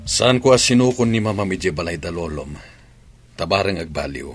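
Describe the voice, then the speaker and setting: A man's voice: sits low, brisk, faint music behind, lightly acted. A man playing a troubled, disapproving father in a radio comedy-drama, with an Ilocano Filipino accent. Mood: weary, disapproving.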